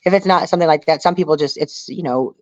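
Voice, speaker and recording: A woman's voice: low-pitched, quick pace, a little mellow, dry recording. Female interview guest, informal and emphatic, seeking agreement as she talks. Dry, slightly lo-fi sound. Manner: emphatic, conversational, often checking with listener. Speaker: guest, reality survival show contestant. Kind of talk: casual interview talk.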